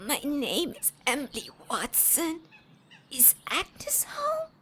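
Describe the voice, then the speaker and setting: A woman's voice: high voice, wildly swooping pitch, takes it slowly, sharp tone, a little hiss or hum, exaggerated voice. An actress playing a sinister old lady in an audio drama, sweetly polite with menace underneath.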